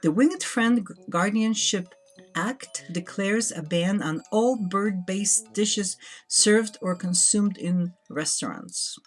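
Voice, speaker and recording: Slow-paced, husky woman playing a game. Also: medium pitch. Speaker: guest co-player. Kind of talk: casual let's play chat, reading game text aloud. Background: background game music.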